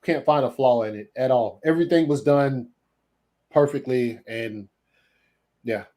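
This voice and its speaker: A man's voice: medium pitch, a little slow, warm tone. A man on a podcast panel chatting casually about movies, emphatic and full of slang and swearing.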